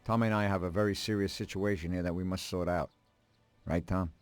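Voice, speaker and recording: Mob bookkeeper played by a man in a crime game: very low voice, solemn, formal, anxious, music behind. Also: fairly even intonation, a little fast, smooth, lightly acted. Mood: serious. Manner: earnest, formal, polite. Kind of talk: dramatic video game dialogue.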